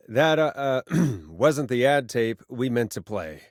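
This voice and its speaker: A man's voice: medium pitch, lively intonation, slow, husky, dry recording, clean studio sound, heavily acted. An actor playing a sardonic, easily exasperated male late-night radio host in a video game, with a wry, conversational delivery. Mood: apologetic, uneasy.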